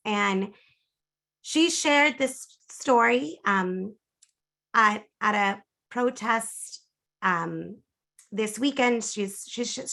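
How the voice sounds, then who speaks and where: A woman's voice: on the high side, vocal fry, sharp tone. A woman hosting a webinar over a video call, moderating informally and introducing speakers.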